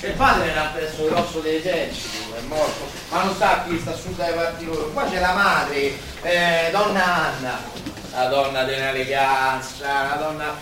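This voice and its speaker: A distant, reverberant recording with clear noise carries an actor as a working-class Roman man. He is high-pitched, gossipy and droll, speaking in broad Roman dialect with a deferential streak, as in a staged radio drama. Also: moderate pace, lightly acted. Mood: earnest, gossipy. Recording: distant, echoey room, noisy live recording.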